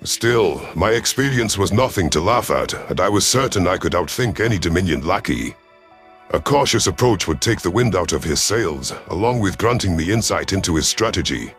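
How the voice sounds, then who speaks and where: Deep-voiced man narrating dramatic fiction. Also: expressive, moderate pace, soft background music. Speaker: audio story narrator. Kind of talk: dramatic first-person scripted narration.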